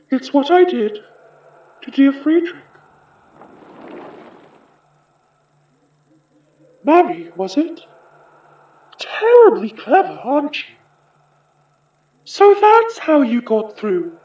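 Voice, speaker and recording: An actor playing a polished, sinister sorcerer spirit from the past in an audio horror drama, measured and theatrical. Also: sits high, sing-song, full character voice. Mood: wistful, haughty. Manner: slow, measured, theatrical, politely menacing. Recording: muffled, echoey room.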